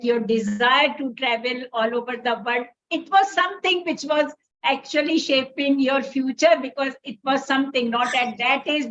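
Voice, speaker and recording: A woman with an Indian accent hosts an interview in a fairly high voice. She reflects at length in halting, wordy phrases. The recording is somewhat dull and lo-fi. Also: fairly bright tone. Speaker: interview host, doctor. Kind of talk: conversational interview, reflective commentary. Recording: dull, lo-fi audio.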